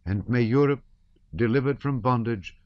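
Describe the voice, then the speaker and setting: A man voicing an earnest young woman who reads aloud carefully in a high-pitched voice. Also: moderate pace, dry recording, lo-fi sound, close to an everyday voice. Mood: solemn reading.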